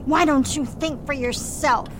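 Woman acting high-pitched scolding mother. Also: fairly even intonation, a little slow. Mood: scornful, forbidding. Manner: scolding, emphatic. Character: stern, scornful, weary mother running a rooming house. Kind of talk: melodramatic radio play acting. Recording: clear background noise and rumble.

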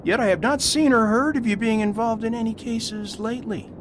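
A man playing a calm, courteous priest detective who speaks in a measured, polite and persistent way, in an amateur radio drama. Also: very high voice. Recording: noisy recording with steady hiss.

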